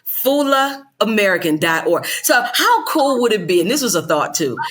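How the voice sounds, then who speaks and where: A woman's voice: mid-range voice, big pitch swings, moderate pace, a bit bright. An older woman, a guest on a podcast, talking animatedly and laughing often. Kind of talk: casual interview chat.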